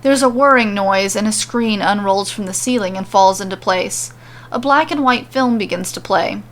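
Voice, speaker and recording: A woman's voice: medium pitch, moderate pace. A woman narrating scripted comedic fan fiction as a storyteller.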